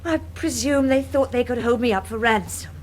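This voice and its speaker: A woman's voice: on the high side, normal speed, a little hiss or hum, lightly acted. A woman acting in a radio drama as a defiant, guarded young Englishwoman with a clipped, evasive manner.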